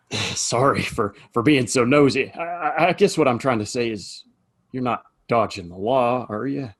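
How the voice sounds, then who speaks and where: A man playing a weary Old West saloon keeper in an audio play, husky, hesitant and folksy. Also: medium pitch, a little slow. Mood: curious, folksy. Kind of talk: Western audio play acting.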